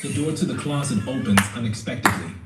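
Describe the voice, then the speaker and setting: A man's voice: medium pitch, lively intonation, fast talker, close to an everyday voice. A man giving scripted narration for an audio drama. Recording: roomy, distant playback with music behind.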